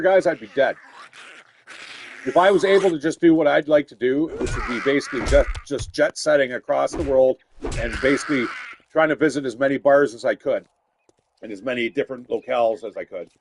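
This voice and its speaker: Loud, swearing gamer man. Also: medium pitch, brisk, background noise. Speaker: gaming streamer. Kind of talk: casual gameplay commentary.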